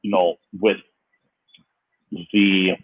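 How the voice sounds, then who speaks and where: A man's voice: deep voice, level tone, extremely slow. A middle-aged man, a chef speaking as a guest on a video call, thoughtful and full of restarts. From Barbados. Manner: thoughtful, with many restarts and fillers. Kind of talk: casual video call interview. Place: indoor room. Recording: tinny phone-like video call audio.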